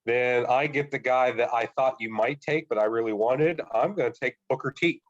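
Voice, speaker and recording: A man co-hosting a casual podcast who is getting over a cold and sounds stuffy. He talks things through thoughtfully at an ordinary pitch. The recording is slightly dull and lo-fi. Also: normal speed. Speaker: podcast co-host.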